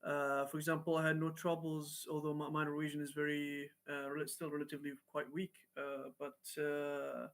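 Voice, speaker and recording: A man from Palestine answering interview questions as an activist, speaking haltingly with frequent fillers. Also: on the high side, monotone, a bit bright. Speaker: guest activist.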